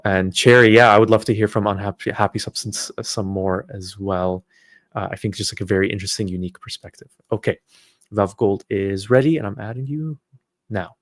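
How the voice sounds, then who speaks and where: A man's voice: fairly low pitch, a little fast, no echo, clean studio sound. A man from Palestine hosting a live call-in stream, chatting casually with callers, friendly and hesitant with many fillers.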